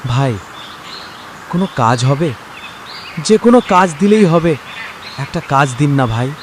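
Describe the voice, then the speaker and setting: A man's voice: a little high, animated, measured pace. A man playing a poor, devout village father in a cartoon moral story, pleading and despairing. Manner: plaintive, pleading, prayerful. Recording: noisy track with birdsong and nature ambience.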